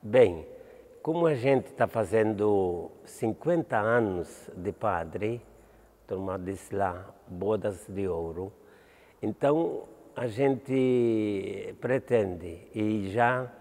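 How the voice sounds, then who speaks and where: Older Maltese priest, low dark voice. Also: male voice, big-room sound. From Malta. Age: 70s. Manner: halting, with restarts and "entende?". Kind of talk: interview answers.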